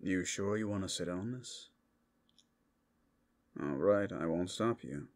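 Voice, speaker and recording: A man voicing a flirtatious, sly male werewolf in an ASMR roleplay, with teasing, drawn-out asides. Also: low-pitched, expressive, darkish tone. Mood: casual, cautioning.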